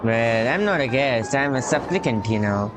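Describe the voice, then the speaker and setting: A man casually reacting to a story game, with a relaxed, wry and drawling manner. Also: medium pitch, normal speed, noisy background. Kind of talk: casual live reaction commentary. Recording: voice over loud game music.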